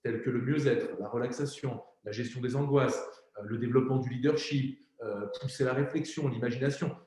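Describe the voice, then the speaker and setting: A man's voice: medium pitch, fairly even intonation, fairly quick, darkish tone. A man explaining his learning platform in an informal interview, with some fillers. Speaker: co-founder of a learning platform, guest. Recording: very echoey room.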